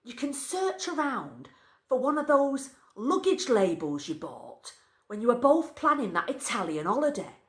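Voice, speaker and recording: In a roomy, echoing space, a woman reads scripted fiction aloud. Her delivery is slow and deliberate, with lively rises and falls in pitch. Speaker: reader of short stories. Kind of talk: expressive scripted story reading. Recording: echoey, roomy indoor sound.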